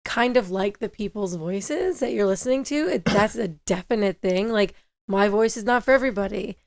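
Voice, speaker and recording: A woman with a raspy voice co-hosting a podcast in casual chat, reacting with quick short exclamations. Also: medium pitch, no echo, studio quality. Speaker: podcast co-host.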